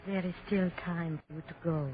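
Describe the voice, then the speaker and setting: A woman's voice: fairly low pitch, fairly even intonation, normal speed, a little hiss or hum, close to an everyday voice. A woman acts out a mysterious masked Frenchwoman in a 1930s-style radio play. She speaks tersely and formally with a French accent and an ominous air. The sound is narrow and tinny. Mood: solemn warning.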